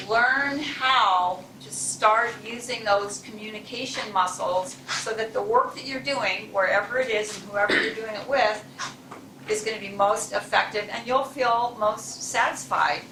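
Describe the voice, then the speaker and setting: Bright-voiced woman chairing a club meeting. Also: a little high, recorded from a distance, a little hiss or hum. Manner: chatty, encouraging, with restarts. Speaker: club president. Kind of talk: welcoming remarks at a club meeting. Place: chilly meeting room. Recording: echoey room, mic from afar.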